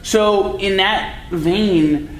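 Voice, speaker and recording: A man's voice: high-pitched, very slow, fairly bright tone. A man in the audience asking a panel a long, rambling question. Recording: echoey room, faint noise.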